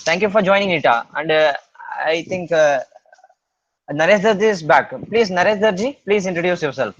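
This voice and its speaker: High-voiced host calling names. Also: male voice, normal speed, a bit bright, dry recording. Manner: hesitant, many "uh" fillers, calls names in turn. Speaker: host of online meetup. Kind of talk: casual virtual meeting. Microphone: video call. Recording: dull, dry video call audio.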